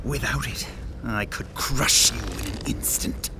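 A man's voice: fairly low pitch, measured pace, noisy background, close to an everyday voice. An actor playing a sadistic, gloating male villain in a fantasy game, mocking and theatrical, drawing out his words. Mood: taunting, menacing. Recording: music behind the voice.

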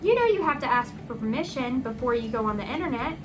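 Woman reading as a firm, high-voiced scolding mother. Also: animated, fast. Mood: stern.

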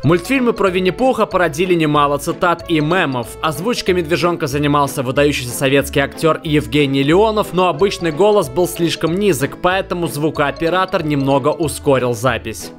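A man's voice: fairly high pitch, brisk, few pauses. A man reading scripted documentary-style narration as a voice-over. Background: music.

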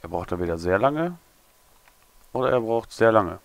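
A young man, a little hungover and hoarse, mumbling and thinking aloud as he builds in a game tutorial. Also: on the low side, animated, normal speed. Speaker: gaming tutorial creator. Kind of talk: casual commentary while building.

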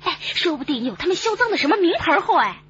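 A woman's voice: very high-pitched, sing-song, fast, a bit bright, full character voice. An actress with a Mainland Chinese Mandarin accent playing a cheeky, playful policewoman in a radio drama. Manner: playful, coquettish, teasing. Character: perky, cheeky, fashion-minded female police detective. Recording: slightly muffled broadcast with faint noise.

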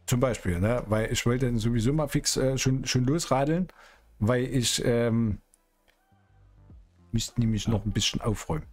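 A middle-aged man, chatting casually as a streamer in a role-play game with drawn-out, teasing phrasing. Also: medium pitch, a little mellow. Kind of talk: casual in-character gaming chat. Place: indoor streaming room. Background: music.

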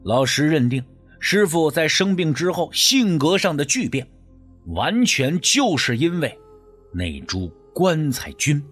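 Male narrator for suspense fiction: slightly high voice, highly expressive delivery, scripted and dramatic. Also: measured pace, slightly rough, soft background music. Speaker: audiobook narrator.

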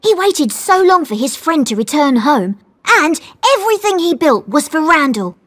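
An actress voicing a curious, admiring boy apprentice in an animated game. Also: sits high, moderate pace.

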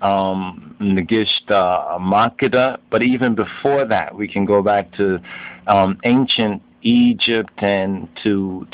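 A man narrates an informal lesson on religious history, speaking slowly in a fairly low voice. He is didactic and halting, often restating a term in other words. The recording is dull and lo-fi, like a home-made voice-over. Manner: didactic, halting delivery, repeats and glosses terms in several languages. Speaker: video narrator and lay religious teacher.

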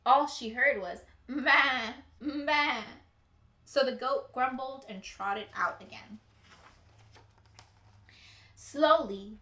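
A young woman reading a picture book aloud as a teacher to children, with deliberate, emphatic storytelling. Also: fairly high pitch, fairly bright tone. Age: young adult. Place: indoor room with bookshelves. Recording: roomy indoor echo.

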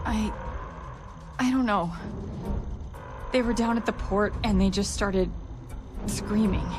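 An actress playing a shaken, apologetic security officer in a video game, halting and stammering in distress. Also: female voice, medium pitch, over music, lightly acted. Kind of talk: video game character dialogue.

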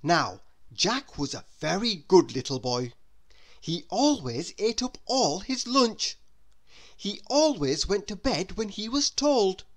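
A woman reading a children's story aloud in a deliberate, expressive way, as if to a young listener. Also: medium pitch. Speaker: storybook reader. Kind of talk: scripted children's story narration.

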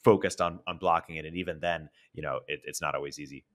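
Fast, deep-voiced male interviewer. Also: steady tone. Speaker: interviewer, host. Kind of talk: casual interview questioning. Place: living room, indoors. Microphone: desk microphone with headphones.

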